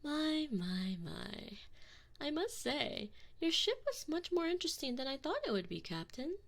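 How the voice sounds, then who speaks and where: A woman's voice: high voice, sing-song, exaggerated voice. A woman playing a sadistic, mock-polite alien enforcer in an ASMR roleplay, taunting sweetly and possessively into a close binaural microphone. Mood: gleeful mockery. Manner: slow, mock-sweet, taunting, often dropping into breathy whispers.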